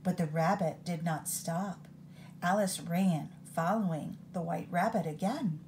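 A woman reading a picture book aloud in an expressive storytime manner, recorded on a phone held over the book. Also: on the low side, measured pace, slight background noise.

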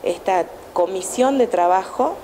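A woman's voice: mid-range voice, expressive, a little slow, dark tone, a little hiss or hum, rough recording. A woman, a teacher answering news interview questions with many fillers and restarts. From Argentina. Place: indoor room at a school event. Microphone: handheld TV station microphone held close.

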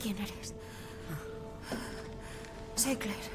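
A woman's voice: drawn-out words, music in the background. An actress playing a determined, anxious young woman survivor in a video game, speaking urgently. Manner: urgent, questioning. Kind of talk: dramatic video game acting.

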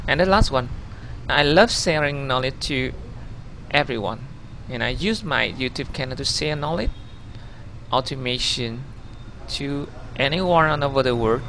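A man in his thirties with a Vietnamese accent, a native Vietnamese speaker, narrating his own video CV over slides in a halting way, often correcting himself. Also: medium pitch, lively intonation, a bit bright, slight background noise, low-quality recording. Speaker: job applicant.

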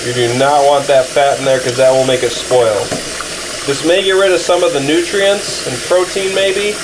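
A man's voice: mid-range voice, steady tone, moderate pace, background noise. Easygoing young man cooking in his kitchen. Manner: easygoing, apologetic, rambling with restarts. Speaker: outdoor vlogger cooking camp meals. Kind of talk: casual how-to cooking narration. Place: home kitchen, indoors. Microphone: camera filming from above the stove.

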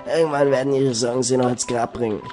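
Brief: a man playing a brisk young village shopkeeper woman, mid pitch, faint music bed. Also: fairly even intonation, dark tone, close to an everyday voice. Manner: plain reading of game text. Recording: faint game music behind.

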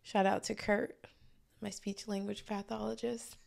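A woman co-host with a slightly nasal voice, speaking slowly with heavy vocal fry. She teases her partner in a dry, laughing way on a studio podcast mic. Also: mid-range voice, little pitch movement, a little mellow. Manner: teasing, dry, laughs often. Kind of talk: casual podcast banter.

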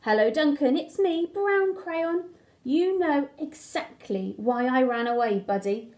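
A woman's voice: high voice, animated, unhurried, a little mellow. A woman voicing an embarrassed, resentful brown crayon from a storybook with wry sarcasm. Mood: sarcastic, embarrassed. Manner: sarcastic, aggrieved.

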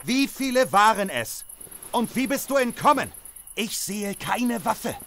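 Man acting a stern, animated survivor leader. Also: sits high, unhurried, slight background noise, full character voice. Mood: suspicious, insistent. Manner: stern, interrogating. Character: distrustful, forceful male survivor, hot-tempered leader. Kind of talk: German audio drama acting.

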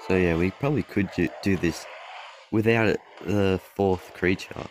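A young man with a deep, low voice talks over his own mobile game footage in a loose, rambling way. He corrects himself, trails off and chuckles as he goes. His voice sounds a bit off because he is sick, and faint noise sits behind him. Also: normal speed. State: voice off from being sick. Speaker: mobile game channel host. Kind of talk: gameplay commentary over a screen recording.

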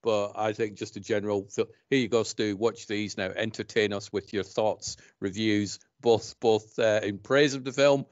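A man in his forties hosts a podcast from a home studio, speaking into a headset in a lowish voice. He keeps the chat moving with friendly prompting questions. The sound is dry and a little dull. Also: fairly even intonation, moderate pace, hardly any pauses, dry recording. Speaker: podcast host. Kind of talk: casual podcast interview chat. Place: home studio room, indoors. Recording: dry, slightly dull call audio.

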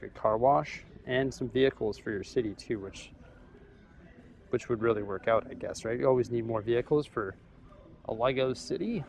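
A young man filming himself in a big store, chatting casually as he weighs up prices and thinks out loud. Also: mid-range voice, slight background noise. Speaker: vlogger. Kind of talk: casual shopping vlog. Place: large store aisle, indoors. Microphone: camera held at arm's length.

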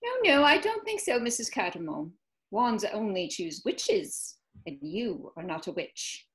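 A woman playing a cold, accusing government official who speaks in a measured, condescending, formal way, reading a script. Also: mid-range voice, very animated, fairly bright tone. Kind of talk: script table read. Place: home, video call.

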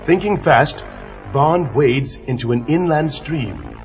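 Dramatic storybook narrator, slightly high. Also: male voice, expressive, takes it slowly. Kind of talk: scripted children's storybook narration. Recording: dull lo-fi tape with music.

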